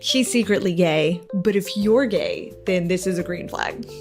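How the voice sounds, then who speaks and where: A woman's voice: fairly low pitch, a little slow, faint music behind. Woman giving teasing mock-serious warnings. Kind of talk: short-form social video.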